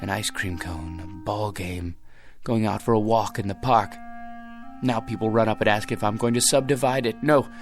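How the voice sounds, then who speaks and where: Actor as weary millionaire with creaky voice. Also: male voice, lowish voice, fairly even intonation, moderate pace, lightly acted. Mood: weary, wistful. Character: weary, generous, bored millionaire daydreamer, earnest and wistful. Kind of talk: radio drama acting. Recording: music under the dialogue.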